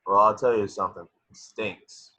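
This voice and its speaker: A disgruntled chief engineer on a spaceship, played by a man at a table read. His voice is rather low and husky, with a forceful, bright edge. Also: fairly even intonation, moderate pace. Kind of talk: table read of a film script.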